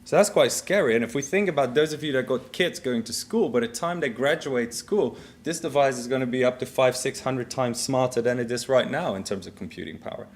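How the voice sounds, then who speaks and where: A man's voice: medium pitch, a little fast, hardly any pauses. Dutch-accented man giving a keynote. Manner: relaxed, conversational, walks through a live demo. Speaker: keynote speaker. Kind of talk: conference keynote talk. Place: conference stage.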